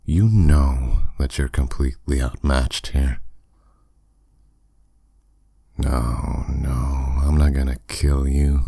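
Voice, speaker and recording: Demon lord played by a man, extremely low voice, dry close sound. Smug, commanding, teasing; intimate audio roleplay. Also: creaky, a little mellow, husky, no room sound, full character voice. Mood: calm, menacing. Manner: commanding, condescending, unhurried, teasing. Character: dominant, suave male demon lord, smugly teasing. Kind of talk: roleplay audio drama, addressed intimately to listener.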